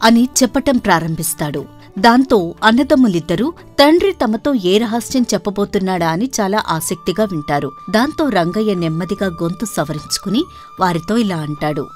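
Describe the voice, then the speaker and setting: A woman narrator reading a scripted folk tale for an animated story. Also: medium pitch, normal speed. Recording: background music throughout.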